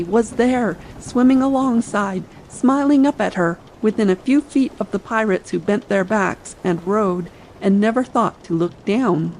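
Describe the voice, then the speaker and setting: A woman with marked vocal fry narrates an adventure story as a dramatic bedtime audiobook. Her pitch sits in the middle range for a woman. Faint sea ambience runs behind her reading. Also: darkish tone, slight background noise, low-quality recording. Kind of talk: dramatic audiobook reading for late-night listening.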